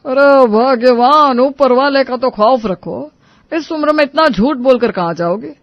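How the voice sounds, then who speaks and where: Performer doing an exasperated old man, high voice, dull sound. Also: no room sound. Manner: indignant, drawn-out protest. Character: exasperated old father-in-law protesting loudly. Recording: dull lo-fi recording.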